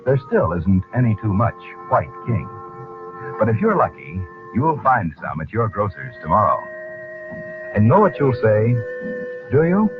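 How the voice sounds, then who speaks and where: A man's voice: lowish voice, huge pitch swings, a little slow. A man working as a 1940s radio announcer, reading scripted copy with polished, theatrical salesmanship. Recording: tinny old radio broadcast with music. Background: music.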